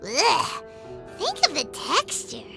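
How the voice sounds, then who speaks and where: A woman voicing a tiny, excitable fairy companion girl in a fantasy game, with a squeaky, childlike, perky delivery. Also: takes it slowly, vocal fry, exaggerated voice. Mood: teasing. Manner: perky, cheeky, speaks of herself in third person. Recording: loud music under the voice.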